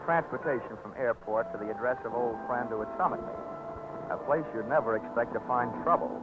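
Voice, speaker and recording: An American actor playing a wry, hardboiled insurance investigator in a 1940s radio drama, with clipped, wisecracking delivery. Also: male voice, medium pitch, brisk, heavy background noise, natural voice. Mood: wry, brisk. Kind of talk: 1940s radio acting, first-person narration and dialogue. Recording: narrow, tinny 1940s radio recording with music.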